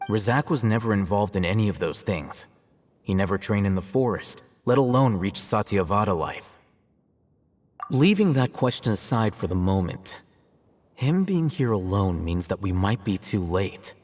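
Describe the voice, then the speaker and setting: An actor playing a composed, analytical young scholar in a video game, speaking in a measured, matter-of-fact way. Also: male voice, on the low side, long reverb tail. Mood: serious, analytical.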